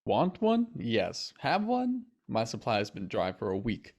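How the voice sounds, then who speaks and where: An actor playing a dry, world-weary doctor in a period mystery radio play, speaking formally. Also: male voice, medium pitch, huge pitch swings, a little slow, a little mellow, slight background noise. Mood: wry.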